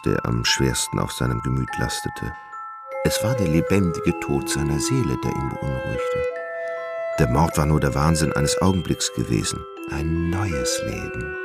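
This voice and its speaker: A man narrating a dark gothic tale as the storyteller of a literary radio drama, grave and measured. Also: rumbling low, gravelly, full character voice.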